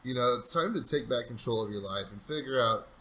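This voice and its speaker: A man with a bright, forceful tone co-hosts an informal sales podcast. He rambles a little and leans motivational, urging listeners to take control. Faint noise hums in the background. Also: mid-range voice, lively intonation, a little fast, rough recording. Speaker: podcast co-host. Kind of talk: casual sales podcast chat.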